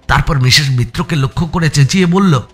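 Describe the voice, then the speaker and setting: A man's voice: on the high side, fairly quick, a bit bright, breathy edge, soft background music. A man with an Indian Bengali accent giving a dramatic first-person audiobook narration of a story.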